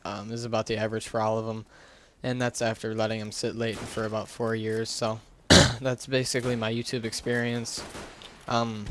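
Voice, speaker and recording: Casual, even-toned man rambling on a headset. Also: fairly low pitch, moderate pace, a little hiss or hum. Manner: hesitant, rambling, self-deprecating. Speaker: gaming YouTuber. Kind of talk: casual unscripted gameplay commentary. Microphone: gaming headset.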